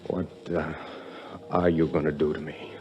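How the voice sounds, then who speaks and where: An actor playing a tough, wary American adventurer in a 1950s radio drama, with a terse, hard-boiled delivery. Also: male voice, very deep voice, level tone, normal speed, muffled recording, noisy background. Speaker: actor and first-person narrator in a radio drama. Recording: vintage 1950s radio drama, music behind.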